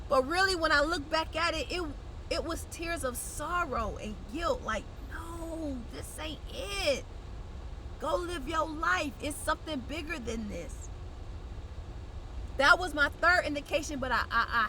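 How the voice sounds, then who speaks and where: Congested, bright-voiced young woman vlogger. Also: high-pitched, slight background noise, poor audio. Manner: blunt, conversational, uses slang. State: congested, nasal. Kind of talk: casual direct-to-camera chat.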